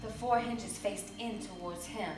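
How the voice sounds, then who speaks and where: A woman's voice: mid-range voice, fairly even intonation, unhurried, over music. A woman reading scripted literary narration in a theatrical style, live on stage. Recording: roomy live theatre, distant, very noisy.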